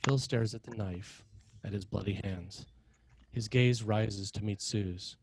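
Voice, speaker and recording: A man reading scripted narration and stage directions as a screenplay narrator, in a measured, dramatic way. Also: on the low side, fairly even intonation, background noise.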